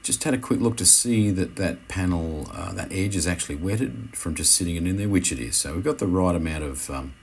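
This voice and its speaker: Male instructor, extremely deep register, unscripted tutorial voice-over with hesitant phrasing, some noise behind the voice. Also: expressive, creaky. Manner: hesitant, halting, self-correcting. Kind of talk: unscripted how-to voice-over commentary.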